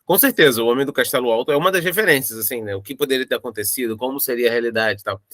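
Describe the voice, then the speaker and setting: A man's voice: medium pitch, rapid-fire, a bit bright, dry recording. A man with a Brazilian Portuguese accent talking casually in an interview about creative work, rambling and repeating words as he thinks aloud. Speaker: audio drama creator discussing his project.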